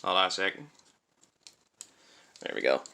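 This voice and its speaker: A man's voice: deep voice, very animated, moderate pace, gravelly, sharp tone. A man giving a casual hands-on product review in an informal, conversational way, thinking aloud as he demonstrates. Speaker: toy reviewer and host.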